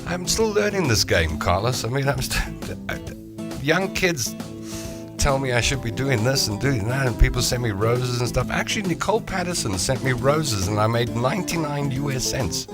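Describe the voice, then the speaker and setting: A man's voice: medium pitch, very animated, normal speed. A middle-aged man hosting a live show, chatting casually and self-mockingly as he reads out viewer comments. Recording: music playing behind the voice.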